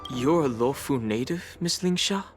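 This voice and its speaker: Man playing a curious young companion, mid-pitched voice, soft game music behind. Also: animated, normal speed, lightly acted.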